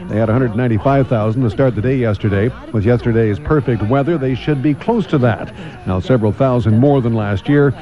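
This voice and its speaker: A man's voice: mid-range voice, warm tone. A man with a Canadian accent anchoring a morning radio newscast in a conversational, punchy style with clipped phrases.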